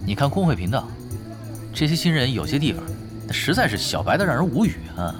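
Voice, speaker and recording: A man's voice: mid-range voice, very animated, brisk, music in the background, close to an everyday voice. An actor playing a laid-back, teasing male guild leader from an online game, in a playful audio drama style. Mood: nonchalant, persuasive. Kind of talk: Chinese audio drama acting.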